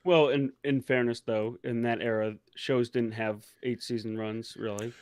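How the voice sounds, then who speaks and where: A man co-hosting a casual chat, offering measured counterpoints in conversation. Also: mid-range voice, fairly even intonation, darkish tone, dry recording. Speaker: co-host of a pop culture discussion show.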